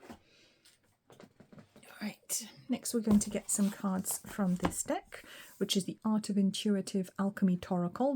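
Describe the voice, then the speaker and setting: Mellow-voiced woman with a weak, slightly hoarse voice. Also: medium pitch, a little hiss or hum. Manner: musing, thinks aloud with frequent ums. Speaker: tarot reader. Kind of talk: relaxed solo tarot reading.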